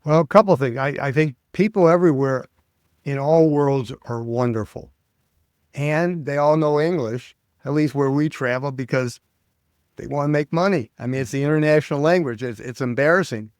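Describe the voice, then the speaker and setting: An older American man, a public speaking coach, chatting as an interview guest and telling stories with lively quoted voices. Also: fairly high pitch, moderate pace, clean tone, no room sound, studio quality. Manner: animated storyteller, acts out quoted voices. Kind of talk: casual interview chat.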